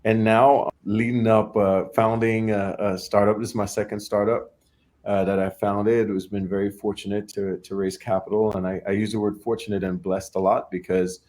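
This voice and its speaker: A man's voice: fairly low pitch, flat delivery, normal speed, darkish tone. A young adult man from Trinidad and Tobago, a startup founder talking casually as an interview guest, often repeating and restarting his words.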